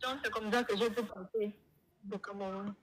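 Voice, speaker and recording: A woman from Gabon with a Gabonese French accent, explaining herself haltingly on a live call. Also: mid-range voice, fairly even intonation, fairly bright tone. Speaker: call-in guest telling her own situation. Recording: noisy live call with clicks.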